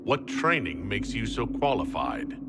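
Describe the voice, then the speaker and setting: An actor playing a cautious, commanding hero in a fantasy game, with a measured, serious, questioning delivery. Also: male voice, lowish voice, wildly swooping pitch, very noisy, heavily acted. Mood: wary. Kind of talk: dramatic fantasy game acting.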